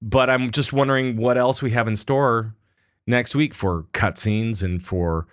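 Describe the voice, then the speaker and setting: A man's voice: mid-range voice, a little slow, smooth. A man hosting a casual gaming podcast, rambling with lots of filler words. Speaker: podcast host.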